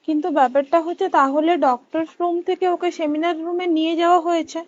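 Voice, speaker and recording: A woman's voice: extremely high pitch, steady tone, brisk. A young woman chatting casually on a recorded phone call, listening attentively with short replies and questions.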